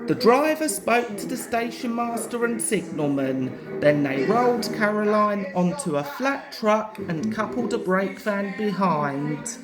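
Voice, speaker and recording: A woman reading a children's story as an expressive narrator. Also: medium pitch, unhurried, hardly any pauses. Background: music.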